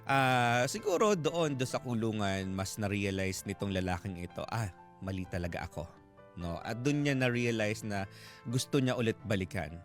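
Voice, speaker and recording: A man's voice: lowish voice, normal speed. A man with a Filipino accent hosting a radio advice show, talking conversationally in Taglish and feeling out the phrasing, in a studio. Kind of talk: casual radio advice talk. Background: background music.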